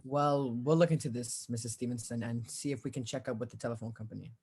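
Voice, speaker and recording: A woman playing a weary, placating police officer in a radio drama, husky-voiced, offhand and patronizing. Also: very deep voice, steady tone, fast talker, a little mellow, full character voice. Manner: offhand, patronizing, brushing the caller off. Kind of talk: 1940s radio drama acting.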